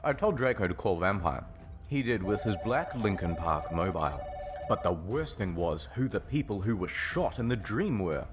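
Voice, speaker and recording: Deep-voiced man reading aloud dramatically. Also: very animated, normal speed, over music. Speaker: reaction video host.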